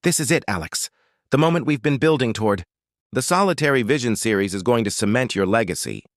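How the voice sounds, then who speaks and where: A man voicing a brisk, glamorous female art agent who gushes and pushes. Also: lively intonation, no room sound, clean studio sound, natural voice. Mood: gushing. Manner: pushy, gushing, raises pitch.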